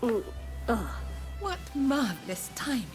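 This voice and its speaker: An actress playing a gracious, alluring geisha in a video game, speaking politely and formally. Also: female voice, fairly high pitch, sing-song, measured pace, faint music behind. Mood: gracious, pleased. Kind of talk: video game cutscene acting.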